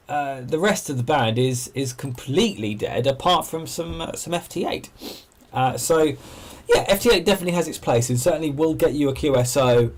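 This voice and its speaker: Male livestream host, mid-pitched voice, casual and discursive, explaining as he goes. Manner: discursive, thinking aloud. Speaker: amateur radio livestream host. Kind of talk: casual livestream chat, explaining and answering viewers.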